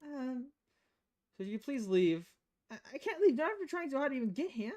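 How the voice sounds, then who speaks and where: A woman's voice: high voice, very animated, fairly quick, mellow, voice pushed up. A woman voicing a nervous, rebellious teenage girl in a visual novel, stammering and pleading. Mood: flustered.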